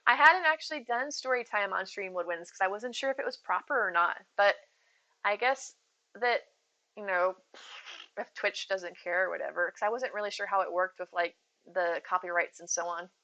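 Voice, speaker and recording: Bright-voiced woman chatting on stream. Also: medium pitch, normal speed, highs cut off. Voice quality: soothing voice. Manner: chatty, rambling, giggly, addresses chat by name. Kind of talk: casual live-stream chat.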